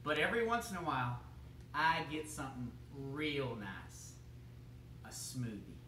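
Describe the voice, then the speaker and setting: A man's voice: mid-range voice, lively intonation, measured pace, bright, forceful tone, slight background noise, pitched below the performer's own voice. A young man playing a goofy, bumbling male TV chef who rambles, chuckles and fumbles in a home kitchen. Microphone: camera across the room. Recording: echoey room, mic from far off.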